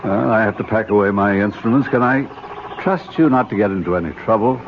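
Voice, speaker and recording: An actor in a radio drama playing a stern, condescending archaeologist uncle who speaks in a formal, lecturing way. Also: male voice, fairly low pitch, fairly quick, natural voice. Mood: exasperated lecturing. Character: stern, condescending older archaeologist uncle leading a jungle expedition. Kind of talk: 1970s American radio drama acting. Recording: muffled, noisy old radio broadcast.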